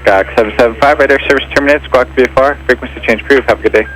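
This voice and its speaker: A man working as an air traffic controller, giving clipped clearances over an aviation radio. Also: mid-range voice, steady tone, racing, a bit bright, rough recording. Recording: aviation radio with faint background noise.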